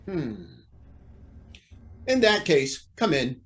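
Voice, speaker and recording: A man's voice: fairly high pitch, very animated, extremely slow, full character voice. A man playing a proud, indignant judge who feels cornered, in an old-time radio drama with a formal, blustering manner. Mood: surprised, stern.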